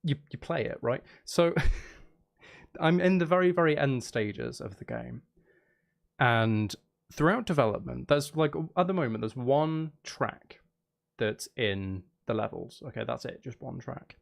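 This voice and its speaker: Rambling man streamer with animated, creaky voice. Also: mid-range voice, measured pace. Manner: rambling, repeats phrases, self-interrupting. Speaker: live streamer. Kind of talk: casual livestream chat with viewers.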